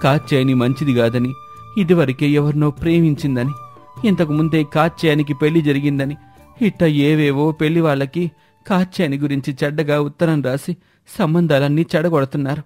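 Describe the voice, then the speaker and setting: A man's voice: fairly high pitch, steady tone, fairly quick, darkish tone, soft background music, natural voice. Man playing a sorrowful, helpless village father. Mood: aggrieved. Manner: aggrieved, heavy-hearted. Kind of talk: dramatised moral story dialogue.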